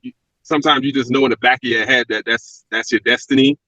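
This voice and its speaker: A man with a Brooklyn accent talks quickly and reflectively, circling back with fillers as he tells his story. The sound is dry and close, with a dull, narrowed lo-fi quality. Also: mid-range voice, steady tone, pushed and bright, no room sound. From Brooklyn, New York. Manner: reflective, rambling with restarts and fillers. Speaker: guest, former pro wrestler. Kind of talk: casual interview talk.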